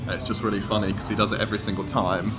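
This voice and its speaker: A man's voice: on the low side, little pitch movement, very fast. Young guy vlogging on his phone, upbeat, lots of questions, music blasting underneath. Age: young adult. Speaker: vlog host. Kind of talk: casual vlog chat. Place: outdoors on a city street and in a station. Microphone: phone held at arm's length.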